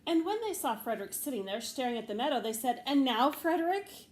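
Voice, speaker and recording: Slightly high-voiced woman reading a children's story. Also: big pitch swings, a little mellow. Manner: measured, with deliberate pauses and emphasis. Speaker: storybook reader, teacher. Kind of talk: expressive children's story read-aloud. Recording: faint background noise.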